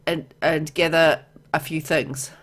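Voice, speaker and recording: Low-voiced woman reading a game story aloud. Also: level tone, takes it slowly, fairly bright tone. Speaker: gamer reading a visual novel aloud. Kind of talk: let's play narration, reading game text aloud with casual asides.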